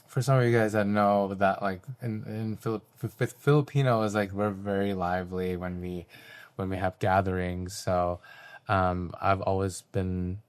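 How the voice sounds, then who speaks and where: Low-voiced man, relaxed and reflective. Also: normal speed, smooth. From Canada. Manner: relaxed, reflective, with frequent ums. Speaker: guest artist. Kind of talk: casual interview talk.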